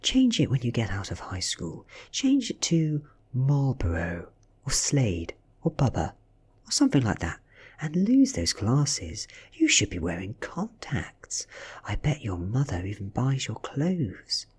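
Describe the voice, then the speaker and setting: A man voicing an ancient female vampire posing as a blunt, teasing high school girl in a softly read story. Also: wildly swooping pitch, measured pace, exaggerated voice. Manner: forthright, teasing, boastful. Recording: close, soft-spoken ASMR recording with husky breath.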